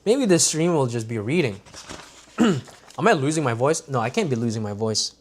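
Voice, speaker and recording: A man's voice: medium pitch, expressive, moderate pace. A man reading a self-help book aloud on a livestream, with stumbles and restarts and casual asides to his viewers.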